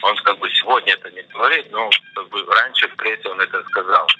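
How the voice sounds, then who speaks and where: A man, interpreting in measured, halting phrases on a conference call. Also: on the low side, bright, forceful tone. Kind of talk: consecutive interpreting on a press call. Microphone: telephone conference call. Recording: muffled phone line, faint noise.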